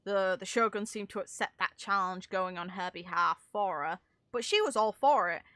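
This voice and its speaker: Bright-voiced woman giving casual commentary. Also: mid-range voice, normal speed, no room sound. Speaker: content creator commentating on a game.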